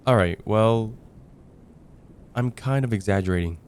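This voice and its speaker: A young man playing a casual heartbreaker friend confiding about dating in a conversational, hesitant ASMR roleplay, with sighs and trailing pauses. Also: lowish voice, a little mellow, clean tone, close to an everyday voice. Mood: let down. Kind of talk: ASMR roleplay, acted one-sided conversation.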